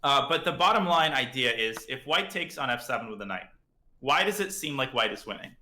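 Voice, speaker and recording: A man's voice: mid-range voice, animated, a little fast, sharp tone, reverberant. A man with a slightly parched throat, chatting casually as he teaches chess on a live stream and thinks aloud about moves.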